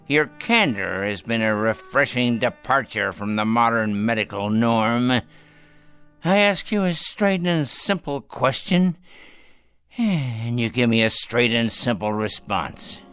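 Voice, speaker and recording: An actor playing a cantankerous, dying old patriarch in a radio drama, with florid, cutting, deliberate speech. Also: male voice, medium pitch, expressive, a bit bright, smooth. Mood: sardonic. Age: older. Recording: radio drama with background music.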